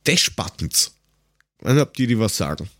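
A man's voice: fairly low pitch, sing-song, moderate pace, a bit bright, no echo, studio quality. A man chatting casually as co-host of a podcast.

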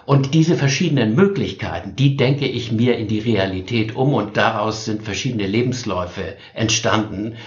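A man's voice: on the low side, moderate pace, hardly any pauses, echoey room, highs cut off. A man from northern Germany chatting on a podcast panel, musing and teasing with many hesitations. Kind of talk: casual remote chat.

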